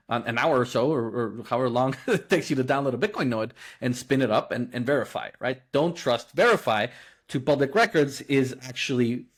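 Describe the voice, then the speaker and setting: Earnest man from Guatemala explaining. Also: mid-range voice. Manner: earnest, explanatory, with frequent fillers and restarts. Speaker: guest, company co-founder. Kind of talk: conversational interview.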